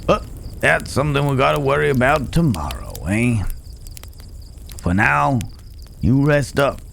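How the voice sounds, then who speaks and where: A man playing a calm, protective cowboy stranger in an ASMR roleplay, talking in an unhurried, folksy way with hesitant pauses and gentle teasing. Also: medium pitch, gravelly, a little hiss or hum, full character voice. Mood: calm, reassuring. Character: gruff but caring male cowboy stranger, calm and protective. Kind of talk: immersive ASMR roleplay acting. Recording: outdoor night ambience with chirping crickets.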